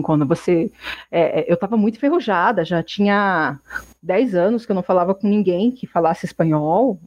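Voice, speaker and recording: Young woman from Brazil, chatty interviewee, mid pitch, dry muffled recording. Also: a little mellow, dry recording. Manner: rambling, many restarts and fillers. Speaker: interviewee, exchange student. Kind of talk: casual interview answer. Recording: dull muffled sound.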